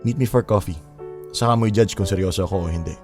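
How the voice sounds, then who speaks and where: A man plays an earnest young Filipino boyfriend in a romance audio drama. His voice is fairly low and his talk casual and attentive, with faint music behind. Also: fast talker, natural voice. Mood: smitten, confident. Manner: earnest, casual, mixes English and Tagalog.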